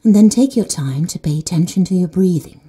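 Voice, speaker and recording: A woman leads a guided sleep meditation in a slow, soothing manner. She often drops to soft whispers. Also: lowish voice, lively intonation, slightly rough. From Netherlands.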